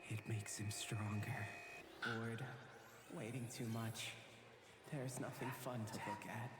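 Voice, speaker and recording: A man playing a troubled, literal-minded boy in a game drama, speaking in halting, flat fragments. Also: mid-range voice, natural voice. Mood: flat, uneasy. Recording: echoey, very noisy game audio.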